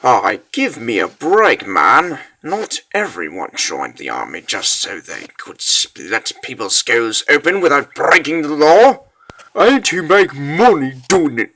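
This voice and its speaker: Man as a restless, sarcastic young soldier, unhurried. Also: medium pitch, very animated, pushed and bright, heavily acted, low-quality recording. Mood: griping. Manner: casual, griping. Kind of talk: fantasy comic dub.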